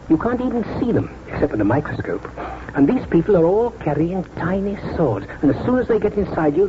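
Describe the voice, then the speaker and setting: An actor playing a kindly, weary old British country doctor in 1940s radio drama, speaking in a gentle, measured, reflective way. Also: male voice, fairly high pitch, a little hiss or hum. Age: older. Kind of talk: 1940s radio drama acting, first-person narration. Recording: muffled 1940s radio broadcast, music behind.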